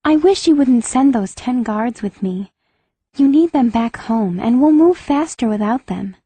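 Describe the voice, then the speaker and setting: Teen noblewoman mage: formal, earnest, highish but mellow, slightly husky. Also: lively intonation, measured pace, no echo. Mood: earnest, firm. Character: earnest, proper young noblewoman mage in her teens. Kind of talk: full-cast audiobook acting.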